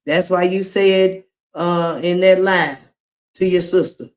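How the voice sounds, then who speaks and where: A woman's voice: fairly low pitch, fairly even intonation, takes it slowly. A woman chatting on her live stream, rambling with lots of fillers, talking straight to her viewers as y'all and teasing the people she calls out. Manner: rambling, filler-heavy, addresses chat as y'all. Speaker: livestreamer.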